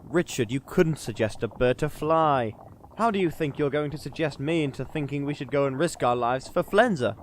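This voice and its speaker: A man's voice: fairly high pitch, normal speed, a little hiss or hum, close to an everyday voice. A man playing a dry, sceptical, grumbling adventurer sidekick in a comedy fantasy audio drama. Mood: sceptical, irritated. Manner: dry, sardonic.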